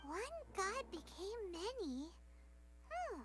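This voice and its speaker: Tiny squeaky excitable fairy companion. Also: female voice, takes it slowly, full character voice. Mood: curious. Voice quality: squeaky, childlike. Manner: chirpy, exclamatory, inquisitive. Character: tiny excitable childlike female fairy companion, cheeky and hungry. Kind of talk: video game voice acting. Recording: music under the voice.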